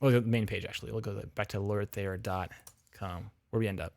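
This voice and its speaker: A man's voice: fairly low pitch, fairly even intonation, fairly quick, gravelly, a little mellow, dry recording. Chatty man giving frank livestream feedback. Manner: chatty, frank, full of restarts and fillers. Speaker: livestream host reviewing game audio reels.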